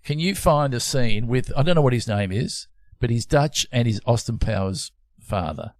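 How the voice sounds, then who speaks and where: A playful, rambling man hosts a podcast in casual chat. His voice is gravelly, with a mid pitch. It is recorded dry and close. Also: animated, moderate pace, no echo. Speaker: podcast host.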